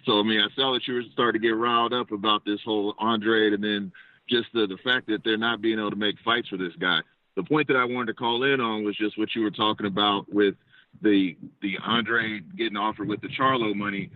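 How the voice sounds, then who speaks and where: Man, caller, low voice, rapid talk, thin phone-line sound. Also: steady tone, dry recording. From United States. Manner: fast, conversational, analytical. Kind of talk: phone call-in. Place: outdoors on a walk, windy. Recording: tinny dry phone line.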